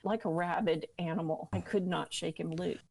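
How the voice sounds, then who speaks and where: Low-voiced woman candidly telling her story. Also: steady tone, normal speed, gravelly, a little mellow. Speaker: interview guest recounting own experience. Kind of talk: personal storytelling in an interview.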